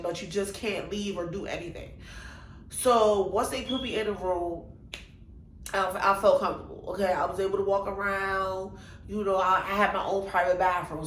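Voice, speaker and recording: Young woman, stuffed-up nasal voice, animated storytelling, echoey room with faint hum behind. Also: medium pitch. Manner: animated, chatty, exclaims to God and Jesus. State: congested, nasal. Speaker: vlogger. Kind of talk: casual storytime vlog.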